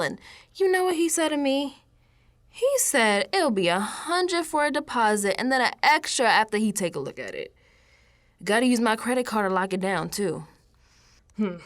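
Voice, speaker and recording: A woman's voice: fairly high pitch, a bit bright. A woman playing a streetwise, unsettled young American woman in an audio drama monologue, confiding in colloquial, emphatic speech. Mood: indignant, sassy. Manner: colloquial, sassy, emphatic, dropping into whispers.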